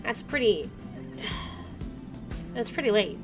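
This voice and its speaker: A woman's voice: mid-range voice, wildly swooping pitch, very slow. A woman doing a game playthrough, reading story text aloud and adding casual commentary. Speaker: gaming streamer. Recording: game music behind the voice.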